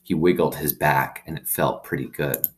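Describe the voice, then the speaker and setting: Low voiced guy reading a kids book out loud, teacher style, stops to explain stuff with little questions. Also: steady tone, normal speed. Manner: explains simply, checks in with tag questions. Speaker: teacher reading aloud to students. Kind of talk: read-aloud with explanatory asides.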